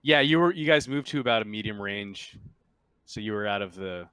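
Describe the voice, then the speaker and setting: A man's voice: on the low side, expressive, normal speed, sharp tone, smooth, no echo. A man narrating a tabletop roleplaying game as game master, in a casual, improvised way.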